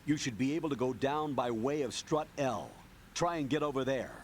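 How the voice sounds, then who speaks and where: A man plays a male commanding officer from a video game. He gives brisk, step-by-step instructions. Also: mid-range voice, slight background noise, lightly acted.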